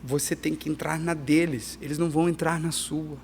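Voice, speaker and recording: A man's voice: on the high side, a little fast, vocal fry. Man, middle-aged, emphatic, frequent restarts, slight noise. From Peru and Brazil. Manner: animated, emphatic, restarts often. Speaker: missionary pastor, guest. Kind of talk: conversational interview.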